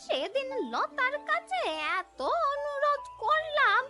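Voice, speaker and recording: A woman voicing an indignant, scheming elderly village aunt in a cartoon, with a loud, scolding, drawn-out delivery. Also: very high-pitched, animated, faint music behind, exaggerated voice. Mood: plotting. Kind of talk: cartoon voice acting.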